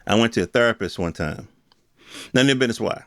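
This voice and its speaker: A man's voice: mid-range voice, quick pace, no room sound, clean studio sound. An American man in his fifties giving a casual, opinionated solo monologue in emphatic, slangy, conversational speech. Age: 50s. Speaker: podcast host.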